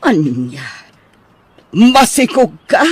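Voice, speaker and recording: A woman's voice: medium pitch, big pitch swings, extremely slow, husky, no echo, exaggerated voice. A woman playing a stern, worried middle-aged mother in an audio drama, with an exasperated, questioning manner. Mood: shocked. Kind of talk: Ilocano audio drama acting.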